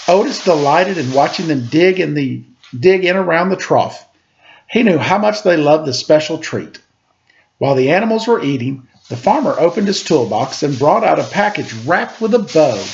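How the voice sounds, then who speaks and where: A man reading a children's story aloud with an expressive, playful delivery, as a storyteller at home. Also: on the high side. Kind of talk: storybook read-aloud with casual asides. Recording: dull home recording with faint noise. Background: faint household background noise.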